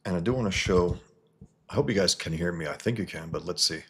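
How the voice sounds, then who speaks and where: A man from New York hosting a casual livestream, reading viewer comments and answering in a halting way that restarts and repeats words. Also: low-pitched, fairly bright tone, slightly rough. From New York, USA. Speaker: livestream host and comic artist.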